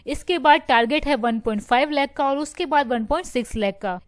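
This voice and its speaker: A woman's voice: sits high, clear, resonant tone, dry recording. A woman hosting a stock market news video, explaining steadily and slipping English phrases into her Hindi.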